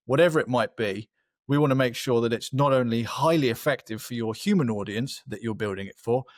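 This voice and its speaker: A man's voice: medium pitch, no echo, clean studio sound. A man giving explanatory answers as a company representative on a webinar panel, in long, qualified sentences.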